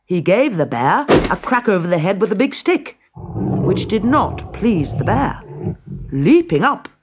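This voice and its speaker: A storyteller reading a scripted children's tale in a dramatic, measured way. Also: medium pitch, sing-song, highs cut off, very noisy.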